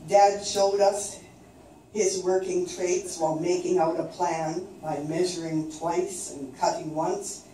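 An older woman from coastal British Columbia reading her own poems aloud in a slow, measured recitation at an open mic in a small venue. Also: on the low side, steady tone, warm tone. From coastal British Columbia, Canada. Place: small dim performance venue, indoors. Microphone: far camera. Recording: very echoey room, distant, faint noise.

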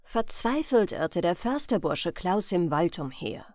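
Female bedtime audiobook reader, thirties, slow and lively in pitch, dry close-mic sound. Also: dry recording. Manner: unhurried, expressive storytelling. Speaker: audiobook reader and channel host. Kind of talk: bedtime fairy-tale narration. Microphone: large studio microphone, close.

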